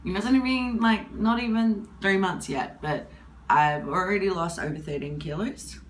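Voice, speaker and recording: A woman in her twenties with a husky voice from hay fever, talking candidly to camera in a rambling, casual way. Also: mid-range voice, moderate pace. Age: 20s. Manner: candid, rambling, with many fillers. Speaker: vlogger.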